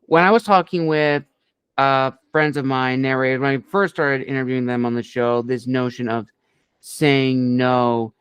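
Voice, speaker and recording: A man hosting an interview with a narrator, affirming and measured as he asks questions. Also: medium pitch, fairly even intonation, a bit bright, smooth, no room sound. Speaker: podcast host.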